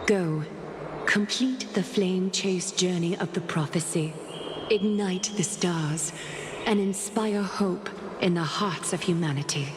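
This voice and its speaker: An actress playing a dignified, composed woman leader in a game, speaking formally and tenderly in a solemn, measured way. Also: fairly low pitch, background noise. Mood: solemn, hopeful. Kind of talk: scripted dramatic game voice acting, monologue. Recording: echoey hall reverb with music behind. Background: music.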